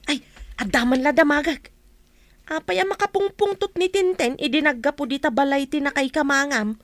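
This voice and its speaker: An actress plays a busybody village woman with an Ilocano accent. Her voice is high and dryly recorded, full of prying questions and teasing exclamations, as in a comic radio play. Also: moderate pace, dry recording, lightly acted. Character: nosy gossiping village woman, an older neighbour. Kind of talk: Ilocano radio comedy drama acting.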